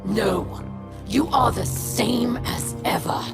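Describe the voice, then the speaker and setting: A woman playing a proud, bitter alien warlord queen in a video game, speaking with scornful command and dramatic pauses. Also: fairly high pitch, loud music behind the voice. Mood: defiant, goading.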